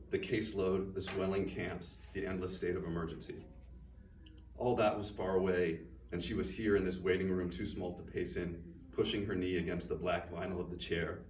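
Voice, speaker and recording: A man, an author, reading his own fiction aloud at a bookstore event in a measured, subdued way. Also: low-pitched, a little mellow. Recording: roomy, distant, faint noise.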